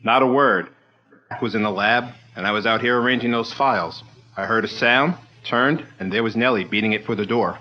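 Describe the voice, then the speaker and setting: An actor playing an eager, loyal assistant who speaks brisk and plain in a 1940s-style radio drama. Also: male voice, lowish voice, highs cut off, natural voice. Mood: earnest.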